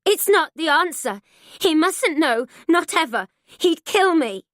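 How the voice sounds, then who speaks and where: A controlling middle-aged mother, shrill and frantic, her voice pitched well up and loud as she pleads and berates, as a woman reading aloud puts her on. The delivery is slow and dry, close to the mic. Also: big pitch swings, no echo, exaggerated voice. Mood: urgent. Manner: shrill, pleading, then screaming.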